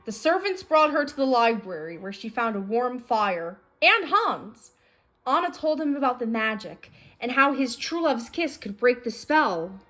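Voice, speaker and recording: A woman's voice: a little high, sing-song, measured pace, fairly bright tone, poor audio. A woman reading a children's storybook aloud as a narrator. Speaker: storybook reader. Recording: faint music behind the voice. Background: music.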